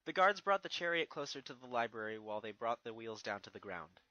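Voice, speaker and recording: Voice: medium pitch, expressive, quick pace, pushed and bright, no room sound, lo-fi sound. A narrator reading a fan fiction story expressively from a script, with music behind. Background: background music.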